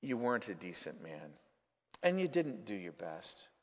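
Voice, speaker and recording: A man voicing a serene, mirthful redeemed spirit, gentle, patient and frank, in a dramatic storybook reading. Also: on the low side, big pitch swings, normal speed, pitched below the performer's own voice. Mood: gently frank. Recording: muffled, echoey large hall.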